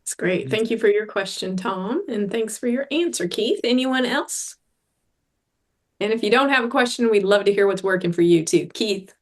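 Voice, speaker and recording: A woman's voice: mid-range voice, animated, normal speed. A woman moderating a video call training session, thanking speakers and inviting questions in an encouraging way.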